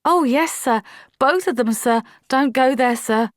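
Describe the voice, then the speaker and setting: An earnest young woman soldier answers her officer firmly and dutifully as a woman reads her aloud. The voice sits raised and bright. A close, dry storybook reading. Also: high voice, unhurried, no room sound, voice pushed up. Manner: firm, apologetic, dutiful.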